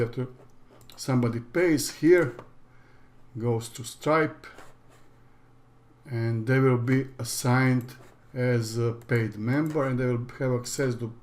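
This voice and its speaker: A man from Croatia with a Croatian accent, a native Croatian speaker, talking through a screen-share tutorial as an online course instructor in a halting, plain way with frequent restarts. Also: mid-range voice, darkish tone.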